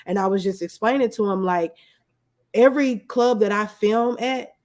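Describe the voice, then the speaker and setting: A woman's voice: mid-range voice, normal speed, a little mellow. Woman, interview guest, small business owner, casual, candid, conversational, anecdotal.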